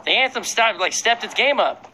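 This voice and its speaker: A young man playing a game with a friend, blunt and sweary, calling out enemies in quick bursts. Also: high-pitched, expressive, very noisy. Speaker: teammate. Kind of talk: casual gameplay chat. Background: music playing.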